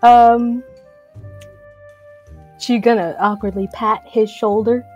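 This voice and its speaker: A woman's voice: fairly high pitch, fairly even intonation, slow, darkish tone, soft background music. A woman playing a tabletop game, describing her character's moves in a casual, giggly, hesitant way. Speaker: player. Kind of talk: casual tabletop role-play chat.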